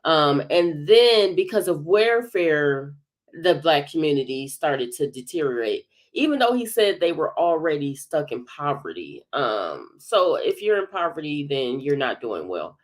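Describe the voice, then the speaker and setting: A woman hosts a livestream commentary while stuffed up with a head cold, so her voice comes through congested. She talks hesitantly, filling her pauses with drawn-out sounds as she gathers her thoughts. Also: sits low, sing-song. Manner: hesitant, many filled pauses. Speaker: commentary channel host.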